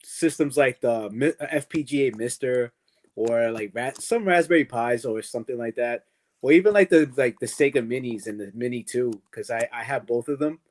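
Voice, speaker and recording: A man's voice: medium pitch, normal speed. A man in his late thirties from the Dominican Republic, chatting casually and laid-back on a gaming podcast. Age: 30s. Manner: relaxed, laid-back, says "man" and "bro". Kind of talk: casual chat.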